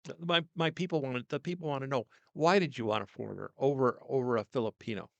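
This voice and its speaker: An older man hosting a casual interview in a small studio, talking loudly and coaxingly into a directional mic. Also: mid-range voice, fast, creaky, dry recording, lo-fi sound. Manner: loud, coaxing, chatty. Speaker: host.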